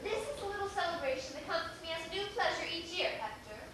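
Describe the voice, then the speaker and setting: A woman's voice: extremely high pitch, big pitch swings, fast talker. A woman acting a gracious, formal society host in a stage play, with an effusive manner. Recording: echoey theater, distant camera, faint hiss.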